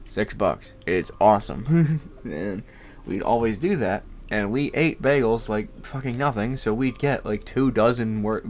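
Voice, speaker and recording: A man's voice: fairly low pitch, unhurried, darkish tone, a little hiss or hum. A young man with a congested voice, rambling casually as a podcast host, swearing freely and laughing often.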